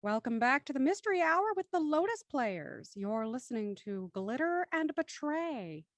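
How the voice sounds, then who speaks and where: A woman narrating a radio drama in a measured, suspenseful way. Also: sits high, sing-song, dry recording.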